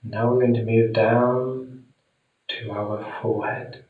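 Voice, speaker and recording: A man guiding a meditation in a soothing, deliberate manner with long pauses, speaking gently to the listener. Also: mid-range voice, little pitch movement, narrow, tinny audio. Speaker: meditation guide. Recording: very echoey room, distant mic.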